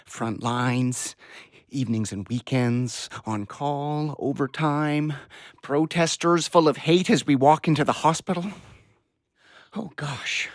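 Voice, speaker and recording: A man playing a weary, pleading doctor and father in an audio play, speaking hesitantly and haltingly. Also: on the high side, dry recording, clean studio sound. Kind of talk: audio drama acting.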